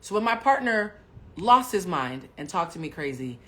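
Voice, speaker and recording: A woman in her forties hosting a livestream, telling a personal story bluntly and with plenty of profanity, swinging between hushed asides and loud bursts. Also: lowish voice, very animated, moderate pace. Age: 40s. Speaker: livestream host. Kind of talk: candid storytelling to a live audience.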